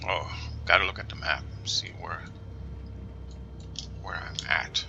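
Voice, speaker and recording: A man's voice: low voice, gravelly, sharp tone, dull audio. A man with a New Jersey accent giving casual commentary while playing a flight sim, musing aloud and slipping into whispers. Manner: musing aloud, reading instructions slowly, often drops to a whisper.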